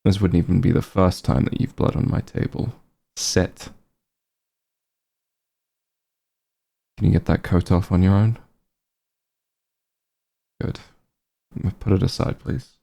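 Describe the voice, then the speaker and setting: A man playing a calm, caring back-room doctor who gently scolds an old friend in a softly spoken ASMR roleplay, soothing with dry bluntness. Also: heavily acted. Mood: brisk, dry concern. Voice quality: low, gravelly, husky. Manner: soothing, unhurried bedside reassurance with dry bluntness.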